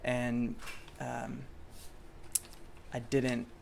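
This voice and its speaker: A man's voice: medium pitch, completely flat delivery, extremely slow, creaky, a little hiss or hum. A young man from France, a native French speaker, talking in a casual interview with many fillers and restarts. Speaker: pop singer guest.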